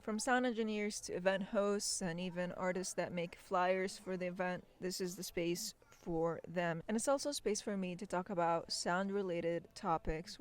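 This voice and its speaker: A woman hosting a podcast, reading scripted narration in a calm, explanatory manner on a close mic. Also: lowish voice. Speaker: podcast host.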